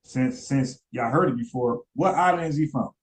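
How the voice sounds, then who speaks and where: A man talks loosely through a live stream at an ordinary mid-range pitch. He rambles and stumbles over repeated words. He keeps turning to ask his viewers questions. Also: moderate pace. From United States. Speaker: commentary streamer. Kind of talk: casual live-stream commentary.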